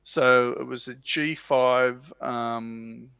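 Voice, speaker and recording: A man chatting casually as a livestream panelist, explaining things and often clearing his throat. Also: medium pitch, steady tone, drawn-out words, pushed and bright. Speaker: livestream panelist on tabletop wargaming. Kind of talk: casual discussion, explaining slides. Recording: dull lo-fi roomy livestream audio.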